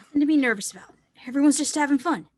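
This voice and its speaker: A woman reading a high-voiced, upbeat teen snowboarder. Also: rapid-fire, dry recording, studio quality, natural voice. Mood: hushed, tender. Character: upbeat, encouraging teenage snowboarder with a crush. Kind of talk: screenplay table read.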